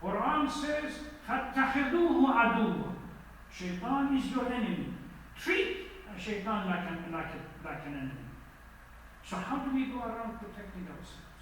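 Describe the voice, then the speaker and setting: High-pitched male preacher in an echoey hall. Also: expressive, fairly quick, fairly bright tone. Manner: didactic, questions the audience directly. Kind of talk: sermon lecture to a congregation. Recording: echoey hall, distant mic, faint noise.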